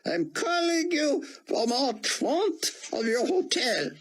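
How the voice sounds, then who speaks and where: A man voicing a formal, halting male rabbi scholar with a thick Hebrew accent. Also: extremely high pitch, big pitch swings, pitched above the performer's own voice, heavily acted.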